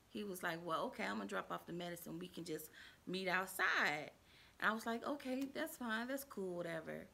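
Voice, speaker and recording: A woman tells a story to her viewers, chatty and rambling, with lots of fillers. Her voice is low and gravelly with a bright, forceful edge, and she jumps up high when she acts out what people said. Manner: acts out quoted dialogue in a raised voice. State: stuffy and under the weather. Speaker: vlogger telling a personal story. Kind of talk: casual storytime chat.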